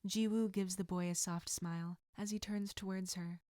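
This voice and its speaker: Female narrator, scripted fiction, expressive read-aloud, average pitch, dry close sound. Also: moderate pace, no room sound.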